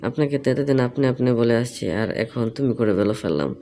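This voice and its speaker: Fast-talking man, probing, on a call. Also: mid-range voice, lively intonation, mellow. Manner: probing, questioning at length. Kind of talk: casual phone conversation. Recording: phone call recording with faint noise.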